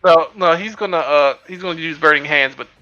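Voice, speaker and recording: A man's voice: fairly high pitch, brisk, pushed and bright, muffled recording, soft background music. A man playing a tabletop game over voice chat, talking casually with many fillers and hesitations. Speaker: tabletop player.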